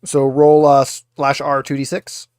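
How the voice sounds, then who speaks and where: A man's voice: mid-range voice, monotone, measured pace, darkish tone, smooth, no echo, clean studio sound. A man running a tabletop roleplaying game as game master, explaining rules and guiding players step by step.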